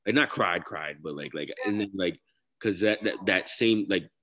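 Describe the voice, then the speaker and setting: A young man from Connecticut speaks in a very low, deep baritone. He talks loosely and slangily as an interview guest, stringing thoughts together with "like." His delivery stays casual and unhurried. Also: a bit bright. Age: young adult. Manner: loose, slangy, heavy use of "like" fillers. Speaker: guest rapper.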